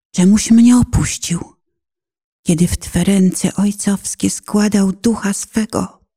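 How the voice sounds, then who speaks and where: A woman narrator reciting a poetic prayer in a solemn, reverent and dramatic manner, at times dropping into hushed, breathy phrases. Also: medium pitch.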